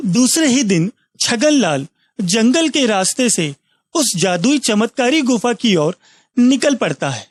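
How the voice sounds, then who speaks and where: Very high-pitched, slow male storyteller. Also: very animated, no room sound, clean studio sound. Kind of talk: scripted children's story narration. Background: music.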